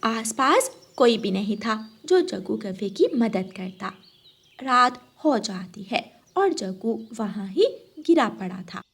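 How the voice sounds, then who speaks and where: A woman's voice: a little high, expressive, slow, husky. A woman narrating a scripted children's story as its narrator.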